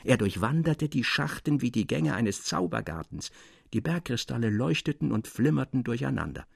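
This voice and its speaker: A man narrating a literary tale in a measured, expressive and articulate dramatic audiobook style. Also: medium pitch, gravelly, husky, no echo, clean studio sound.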